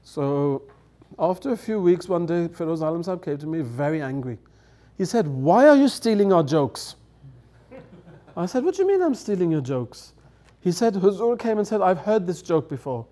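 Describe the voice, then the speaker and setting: A man's voice: sits high, big pitch swings, moderate pace, warm tone. A middle-aged man, a native English speaker, telling anecdotes in a chatty way, laughing and acting out quoted lines, at a table on a studio set. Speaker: guest elder. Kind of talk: storytelling anecdotes. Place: studio set with dark curtains.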